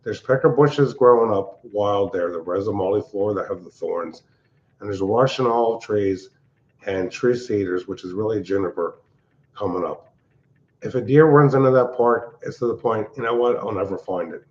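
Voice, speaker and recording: An older man, hosting a casual livestream from his open-plan home, talking in a loud, blunt, rambling way. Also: fairly low pitch, normal speed, highs cut off. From Pennsylvania. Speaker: livestream host. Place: large open-plan house at night.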